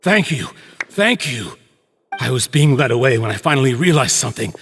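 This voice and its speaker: A man playing an anxious, desperate prisoner in a video game, pleading in a halting, urgent way. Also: medium pitch, big pitch swings, gravelly, fairly bright tone, long reverb tail, soft background music. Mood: shaken, pleading.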